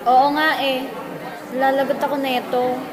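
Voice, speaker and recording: A woman's voice: sits high, flat delivery, measured pace, a crowd in the background. A woman playing a regretful, lonely, obedient teenage schoolgirl in an amateur school radio drama.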